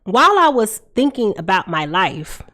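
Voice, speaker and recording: A woman's voice: mid-range voice, very animated, moderate pace, pushed and bright. A woman in her thirties with a congested, stuffy voice, hosting a tarot livestream in a casual, confiding way, calling out to her viewers from a room at home. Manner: chatty, confiding, calls out to viewers. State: congested from a cold. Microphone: desk microphone in front of her.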